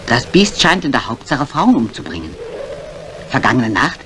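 An actress playing a talkative, excitable maid with dramatic delivery in a 1950s German radio mystery. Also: female voice, extremely low pitch, moderate pace, heavily acted. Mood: ominous, gossipy. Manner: dramatic, eager storytelling. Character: talkative, excitable lady's maid, boastful yet easily frightened. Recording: noisy old 1950s radio recording.

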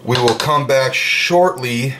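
A man casually narrating his home cooking step by step, chatty and relaxed, with plenty of fillers, recorded in a kitchen with the windows open. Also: medium pitch, takes it slowly, noisy background.

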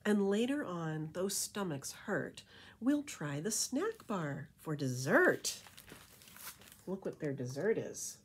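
A middle-aged woman reads a children's picture book aloud as a librarian, with rhythmic, animated storytelling and casual asides. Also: lowish voice, takes it slowly, slight background noise. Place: indoor room with painted wall.

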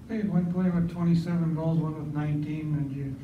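A man's voice: a little high, fairly even intonation, fairly quick, narrow, tinny audio. A man, a reporter, asking curious, probing follow-up questions. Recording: distant, echoey, noisy.

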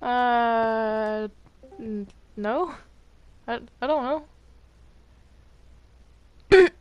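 A young woman with a high voice plays a video game with friends. She talks casually, trading banter as one player in the group. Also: extremely slow, clean tone, lo-fi sound.

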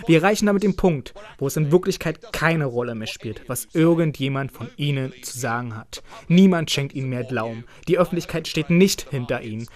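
Higher-pitched man translating a political speech. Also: animated, a little fast, hardly any pauses. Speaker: interpreter voicing a parliament speech in German translation.

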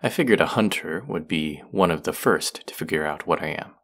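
Guy doing a grumpy predatory vampire student, really deep voice w lots of fry, snide and sarcastic, audio rp style. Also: lively intonation, fairly quick, fairly bright tone, full character voice. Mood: smug, cold. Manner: sarcastic, curt, condescending. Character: irritable, predatory young male vampire college student. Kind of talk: intimate audio roleplay acting.

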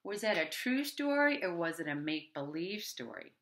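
A woman's voice: fairly low pitch, big pitch swings, moderate pace, fairly bright tone. A middle-aged woman reading a picture book aloud to young children, expressively and with dramatic emphasis. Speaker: storybook reader for children. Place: small wood-panelled room at home, indoors.

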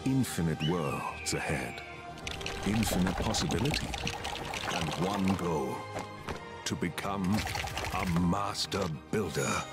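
A grand male storyteller for a video game intro. He takes his time and swings widely in pitch, inviting and theatrical, with loud music behind him. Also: animated, takes it slowly, pauses often, exaggerated voice. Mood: awed, grand. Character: grand male narrator inviting players to create. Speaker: narrator. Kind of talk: grand scripted trailer narration.

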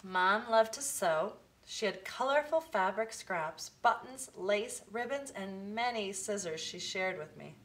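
A woman reading a children's picture book aloud, with expressive, deliberate phrasing. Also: mid-range voice. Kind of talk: storybook read-aloud for children.

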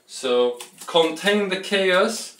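A man's voice: high voice, lively intonation, extremely slow, fairly bright tone, a little hiss or hum. A young man hosting a casual home cooking vlog, talking in a rambling, playful way with stumbling restarts while he works at the sink. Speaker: cooking show host. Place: small home kitchen, indoors. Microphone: camera mic from across the room. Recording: echoey kitchen, distant from mic.

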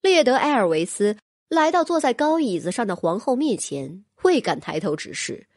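A woman's voice: fairly high pitch, sing-song, normal speed, darkish tone, dry recording, clean studio sound. A woman reading a fantasy novel as scripted audiobook narration.

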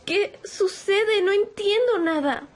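A woman's voice: very high voice, takes it slowly. A woman playing a sweet, bewildered young girl in an audio roleplay, with a hesitant, naive manner. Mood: confused, alarmed. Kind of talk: ASMR audio roleplay acting.

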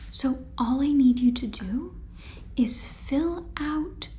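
A woman's voice: high-pitched, slow, warm tone, slight background noise. A young woman playing a weary, insistent space-agency boss on the phone in a whispered ASMR sitcom. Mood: weary, pressing. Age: young adult.

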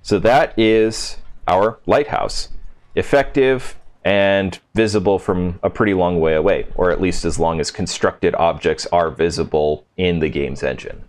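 A man's voice: low-pitched, a little slow. A man hosting a casual, unscripted video game walkthrough, thinking aloud in an informal way as he shows things. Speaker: video game settlement-build host. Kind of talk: casual gameplay walkthrough commentary.

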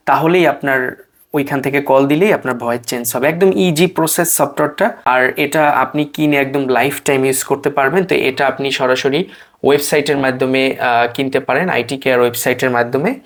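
A man with a Bangladeshi accent giving an informal product demonstration to viewers. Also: mid-range voice. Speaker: software demonstrator and seller.

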